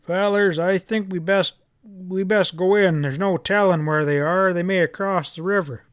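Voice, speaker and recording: Cautious older rural judge, played by a male reader, folksy, hesitant, raised pitch, storybook. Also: fairly even intonation, normal speed, clear, resonant tone, dry recording, studio quality, close to an everyday voice. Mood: cautious, resigned.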